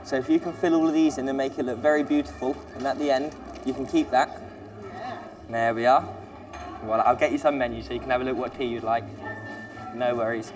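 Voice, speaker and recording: A man's voice: medium pitch, steady tone, fairly quick. A man, a trainee supervisor, talking in an upbeat, self-assured way with restarts while he works. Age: 20s. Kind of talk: documentary interview and on-the-job talk. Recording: roomy space with clear background noise.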